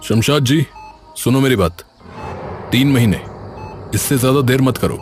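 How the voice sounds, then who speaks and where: A man voicing a serious doctor in an animated story, speaking in a firm, measured and urgent manner. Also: mid-range voice, lightly acted. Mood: grave warning. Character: grave, urgent male doctor warning a parent. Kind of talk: animated story character dialogue. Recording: loud music behind the voice.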